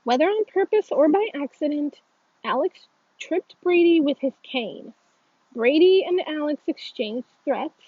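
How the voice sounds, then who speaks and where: A woman's voice: high voice, animated, measured pace, no room sound, phone-quality sound, voice pushed up. A woman voicing a stern, formal female school principal with a firm, reproachful manner in a story reading. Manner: firm, authoritative.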